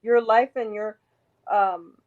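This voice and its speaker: A woman with a raspy voice, talking emphatically as an interview guest, rambling and restarting her sentences. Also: medium pitch, fairly even intonation, slow, darkish tone, highs cut off. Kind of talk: casual interview talk.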